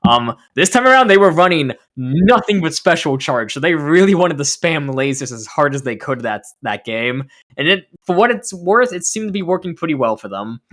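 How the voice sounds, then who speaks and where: A man's voice: fairly high pitch, sing-song, normal speed, hardly any pauses, fairly bright tone. A man giving analytical esports commentary in a tired, worn-out voice, full of "like" and "I mean". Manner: analytical, filler-heavy with "like" and "I mean".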